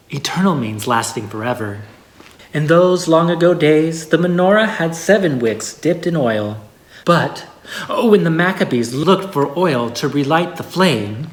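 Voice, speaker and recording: A person reading a holiday story aloud in a measured storybook way with expressive pauses. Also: medium pitch. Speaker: story reader. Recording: echoey room.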